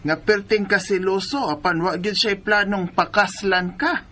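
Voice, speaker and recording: A woman's voice: medium pitch, normal speed, music in the background, lightly acted. An actress playing a blunt, scolding older mother with a Bisaya Philippine accent in a radio drama. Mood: reproachful. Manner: scolding, questioning. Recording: background noise and ambience.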